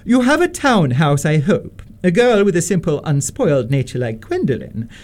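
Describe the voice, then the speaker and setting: A man playing a haughty, disapproving upper-class Victorian mother in a radio comedy, pompous and deliberate with swooping emphasis. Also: fairly high pitch, sing-song, darkish tone. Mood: haughty interrogating. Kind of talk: stage-comedy radio acting.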